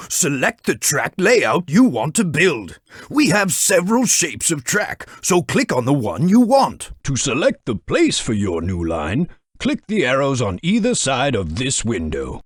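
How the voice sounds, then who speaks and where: A brisk, kindly older railway boss for a children's game, guiding the player with encouraging orders. His voice sits a touch high and swoops widely in pitch, with a husky edge. It is recorded dry and close in a studio. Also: no echo, heavily acted. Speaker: game guide giving instructions. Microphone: studio microphone.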